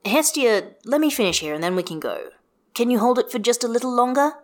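A woman reading the part of a gentle adult man who patiently calms his small daughter in a storybook reading. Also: on the high side, fairly quick, pitched above the performer's own voice. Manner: soft, reassuring. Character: gentle adult male wizard, patient father.

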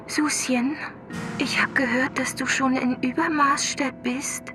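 Actress as doting older female relative on a telephone. High voice, slow, imploring, repetitive, music behind. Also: fairly even intonation. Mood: pleading, tender.

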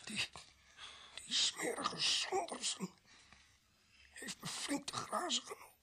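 A dying, bitter criminal in a radio drama. He forces out halting phrases in a very husky whisper, dragging slowly, as if each word costs him his last strength. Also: very high-pitched, expressive, bright, forceful tone, full character voice. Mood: bitter, gasping. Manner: halting, gasping, broken phrases. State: gravely wounded, weak. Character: dying, bitter male criminal protesting his innocence of murder. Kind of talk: radio play acting.

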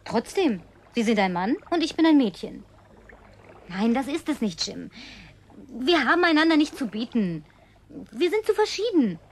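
High-voiced coquettish young-girlish woman. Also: very animated, normal speed, slight background noise. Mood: earnest, persuasive. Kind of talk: naturalistic German radio play dialogue.

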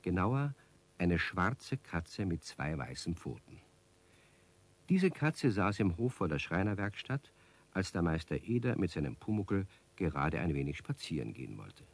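A man narrating a children's radio play as an unhurried storyteller. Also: low-pitched, sing-song, heavily acted. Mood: storytelling. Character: unhurried male storyteller addressing young listeners.

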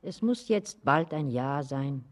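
A woman's voice: extremely low pitch, lively intonation, slow, full character voice. An actress playing a pensive, melancholy old grandmother in a German radio play, musing wistfully.

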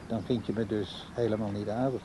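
A man acting in a radio play as an insistent, needy old man who talks haltingly to a much younger woman. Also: on the low side, steady tone, dark tone, a little hiss or hum, lightly acted. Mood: pleading, resigned. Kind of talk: naturalistic radio play dialogue.

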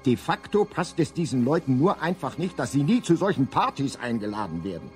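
An actor playing a pompous, wry guidebook narrator in a comic science-fiction radio play. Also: male voice, mid-range voice, very animated, fairly quick, darkish tone, soft background music, natural voice. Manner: mock-scholarly, pompous. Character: wry, learned male narrator of a galactic guidebook.

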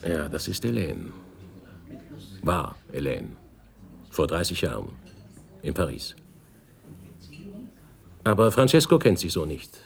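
A man's voice: a bass voice, sing-song, slow, creaky, pauses often, exaggerated voice. An actor playing a wary older Italian businessman and protective father in a radio play, guarded and probing with short questions. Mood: subdued, wistful.

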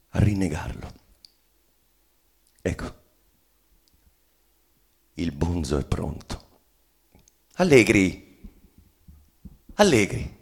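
A tormented bourgeois husband in a dramatic play reading. The voice is very deep, husky and full of fry, declaiming solemnly and bitterly with weighted pauses. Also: male voice, a little mellow, full character voice. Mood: grim resolve. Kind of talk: theatrical monologue reading of a stage play.

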